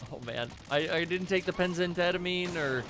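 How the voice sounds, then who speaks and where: A man's voice: high-pitched, normal speed. A man in his thirties co-hosting a live game stream, chatting casually, laughing often and swearing freely. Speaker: streamer co-host. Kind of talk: casual gameplay commentary. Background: game music.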